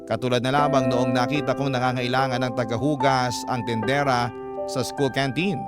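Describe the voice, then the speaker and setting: A man narrating a first-person letter in a classic radio-program style, steady and storytelling, addressing the host directly. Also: medium pitch, normal speed. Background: loud music.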